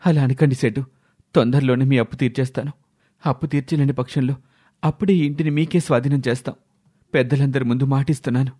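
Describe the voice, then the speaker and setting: A man's voice: medium pitch, steady tone, fast talker, warm tone, natural voice. A man playing a dutiful young son, earnest and resolute, in a dramatised moral story. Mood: pleading.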